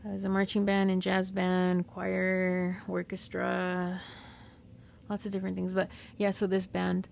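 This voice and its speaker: A woman with a Southern California accent whose first language is Spanish, talking unscripted and reflectively in a slow, pausing monologue as a podcast host. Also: fairly low pitch, completely flat delivery, vocal fry, a little mellow, a little hiss or hum. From Mexican-American. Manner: slow, reflective, with pauses and fillers.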